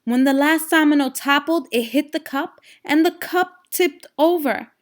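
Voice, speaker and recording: A woman's voice: sits high, a little slow. A woman teacher reading a children's storybook aloud expressively and putting simple questions to young listeners. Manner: expressive, instructional, addresses young listeners directly.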